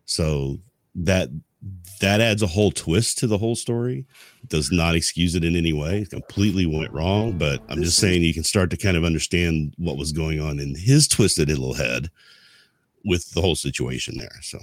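A man speaking as a podcast co-host in a casual history discussion, explaining things in a halting, rambling way. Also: low voice, no room sound, studio quality.

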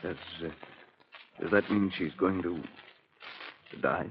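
An actor playing a worried, earnest husband in a hesitant 1940s radio drama style. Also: male voice, deep voice, unhurried, a little hiss or hum. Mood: distraught. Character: anxious, devoted husband of an ailing wife. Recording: dull old 1940s radio recording.

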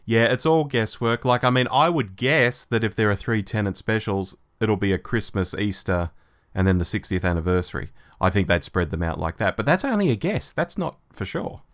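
A man's voice: fairly low pitch, expressive, moderate pace. A man co-hosting a podcast in a casual, conversational fan discussion. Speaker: podcast co-host.

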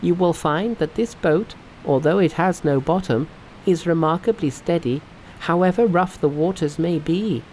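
A man's voice: on the high side, moderate pace, darkish tone, slight background noise, voice pushed up, natural voice, poor audio. A man voicing a clever, cheerful monkey from a storybook, confident and emphatic in his reassurance. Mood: cheerfully confident. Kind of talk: storybook character voice.

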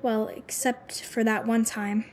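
Casting: shy high school girl, fairly high voice, halting, defensive but earnest, audio drama. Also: flat delivery, slight background noise. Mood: nervous, apologetic. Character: timid, defensive teenage schoolgirl.

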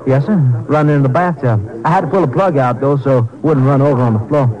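An actor playing a hesitant, deferential young hotel clerk in a 1950s radio drama. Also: male voice, medium pitch, quick pace, crowd noise, natural voice. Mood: nervous. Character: nervous, eager young hotel desk clerk. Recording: muffled old radio broadcast.

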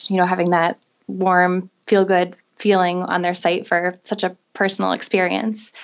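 Brief: soft-spoken woman in her thirties, low and flat delivery, earnest guest recounting her start. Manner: earnest, conversational storytelling with fillers. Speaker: guest entrepreneur. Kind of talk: interview guest.

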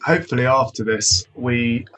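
A man hosts a conversational podcast. He asks long, curious questions and often stops to rephrase them. His voice sits at an average pitch for a man. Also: moderate pace, sharp tone, rough recording. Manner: curious, rambling questions with many restarts. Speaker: podcast host. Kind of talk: conversational interview.

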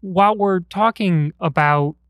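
A man's voice: high voice, measured pace, a bit bright, no echo, clean studio sound. A man hosting a podcast in a chatty, curious way, asking his guest questions. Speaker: podcast host.

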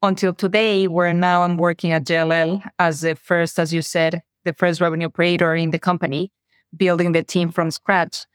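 A woman with a Mexican accent, her first language Spanish, speaking in a somewhat low voice. She recounts her path earnestly and thoughtfully, in a dry, close recording with no room sound. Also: little pitch movement, normal speed, smooth, dry recording. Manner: earnest, reflective storytelling. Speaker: guest, revenue operations director. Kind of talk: interview conversation.

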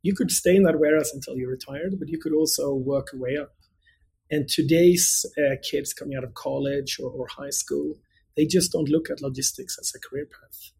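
A man's voice: on the high side, moderate pace, creaky, darkish tone, breathy edge, low-quality recording. A middle-aged man from Sweden with a mixed international Swedish accent, answering conversationally as an interview guest. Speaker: interview guest, company executive.

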